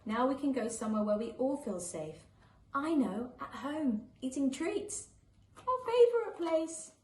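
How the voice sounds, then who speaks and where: A woman's voice: high voice, very animated, measured pace, darkish tone. A woman voicing a kind, encouraging young girl in a children's storybook, coaxing and caring. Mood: caring, cheerful. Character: kind, encouraging young girl helping her sad friend. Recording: echoey room with faint background noise.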